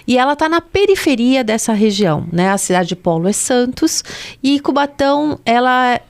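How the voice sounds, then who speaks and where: A woman from the Baixada Santista region speaks as an interview guest. Her voice sits a touch higher than average. She explains things patiently and in order, giving background for listeners who may not know it. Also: sing-song, clean tone. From Baixada Santista, Brazil. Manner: measured, explanatory. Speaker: interview guest, local public servant.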